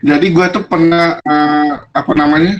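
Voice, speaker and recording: A man's voice: on the high side, little pitch movement, moderate pace, muffled recording. Laid-back young man with a regional accent. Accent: non-Jakarta regional Indonesian. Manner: laid-back, rambling with fillers and laughs. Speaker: guest. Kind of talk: casual storytelling chat.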